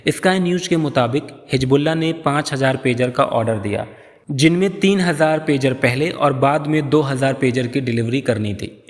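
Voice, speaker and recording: A man's voice: mid-range voice, moderate pace. Man narrating an explainer with long reverb. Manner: explanatory storytelling. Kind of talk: scripted narration, news explainer.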